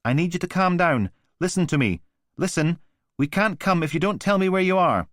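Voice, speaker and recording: A man voicing a steady female emergency call handler, firm and measured. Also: sits high, no echo, studio quality, pitched above the performer's own voice.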